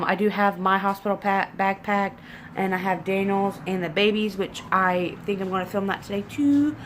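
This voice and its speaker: A woman's voice: mid-range voice, a bit bright. Young congested woman, rambling and self-deprecating, talking to a home camera over faint background hiss. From southern Indiana, US. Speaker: pregnancy vlogger. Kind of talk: casual vlog chat. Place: living room at home, indoors. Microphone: camera in front at desk distance.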